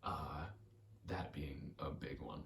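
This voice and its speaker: A young adult man in a quiet, casual ASMR-style ramble, speaking candidly and hesitantly, often trailing off. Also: a bass voice, steady tone, slow, creaky, slight background noise. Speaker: video maker.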